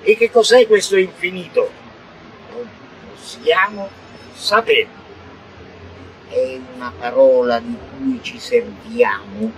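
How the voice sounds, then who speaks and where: A man declaims a poetic text in a theatrical manner. His delivery is slow and halting, with stretched words and heavy emphasis, in a bright, forceful tone. Faint noise sits behind the radio recording. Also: fairly high pitch. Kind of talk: theatrical dramatic poetry recitation. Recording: noisy radio broadcast recording.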